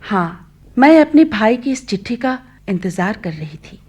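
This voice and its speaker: Anxious mother, husky and swooping. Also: a little high, sing-song, unhurried, a little mellow, exaggerated voice. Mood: worried. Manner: coaxing, imploring. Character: anxious, pleading, devoted mother of sick daughter. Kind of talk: Hindi radio play acting.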